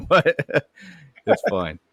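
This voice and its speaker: A man's voice: medium pitch, very animated, extremely slow, dry recording, highs cut off. A man hosting a podcast in casual chat, laid-back and joking, swearing casually. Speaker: podcast host.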